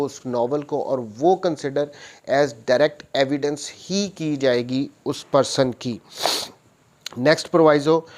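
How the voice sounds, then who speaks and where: A man's voice: on the high side, darkish tone. A man with a Pakistani accent lecturing on law, reading out clauses and explaining them methodically, rephrasing each point.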